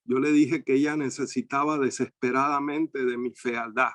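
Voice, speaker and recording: A man's voice: medium pitch, steady tone, normal speed, fairly bright tone, dry recording. An older man from Cuba, a guest on a discussion panel. He tells anecdotes with a witty turn and plays with words. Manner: witty, anecdotal, fond of wordplay.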